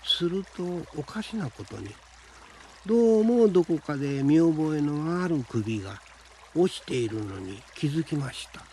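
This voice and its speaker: A man reading a scripted folktale in a measured, deliberate storytelling manner with pauses. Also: fairly high pitch, lively intonation, darkish tone, slight background noise. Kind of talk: scripted Japanese folktale narration. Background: background music.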